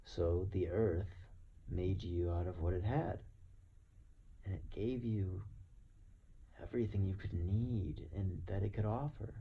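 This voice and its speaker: A man with a very low, gravelly voice speaks slowly and deliberately in a reflective monologue. He leaves long pauses and often sinks into a breathy murmur. The recording is dull, with faint noise underneath. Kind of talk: slow reflective philosophical monologue.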